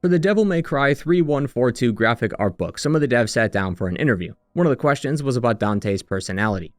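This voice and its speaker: A man's voice: medium pitch, animated, fast, dry recording, studio quality. A man giving a scripted narration for a video game retrospective.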